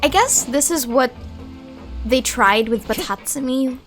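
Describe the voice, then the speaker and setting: A woman streamer giving casual, expressive gameplay commentary, thinking aloud informally. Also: high voice, measured pace. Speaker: gaming streamer. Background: background music.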